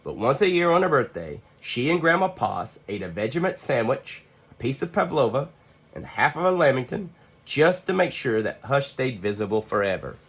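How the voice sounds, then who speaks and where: A man reading a children's story aloud as an expressive narrator, with deliberate pauses between phrases. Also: mid-range voice, husky. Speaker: storybook reader.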